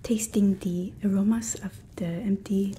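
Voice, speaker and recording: A suspicious female guard from a fantasy roleplay, acted by a young woman, whispers slowly in a soft, mellow, breathy voice. She is sardonic and stern, and the close recording has some faint background noise. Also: mid-range voice, steady tone, close to an everyday voice. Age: 20s. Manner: suspicious, sarcastic, unhurried. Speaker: ASMR roleplay performer.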